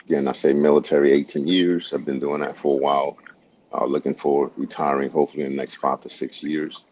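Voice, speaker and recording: A man from Honduras with a very deep voice talks upbeat and often tags his points with "right?". The audio is dull and lo-fi. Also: flat delivery, fairly quick, darkish tone. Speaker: guest, military medical officer. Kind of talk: casual interview answers.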